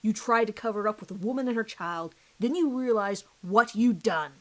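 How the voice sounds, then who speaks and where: A woman's voice: mid-range voice, animated, brisk, a bit bright, close to an everyday voice. A woman voicing a hard male police detective who questions bluntly and sardonically in an audio horror drama. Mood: accusing, forceful.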